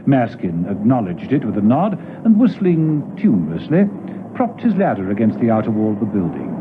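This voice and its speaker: A man's voice: on the low side, wildly swooping pitch, moderate pace, noisy background. A man acting as the storytelling narrator of a classic spy radio drama, reading scripted narration. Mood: measured storytelling. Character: male narrator of a spy adventure. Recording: tinny, band-limited old radio broadcast, music behind.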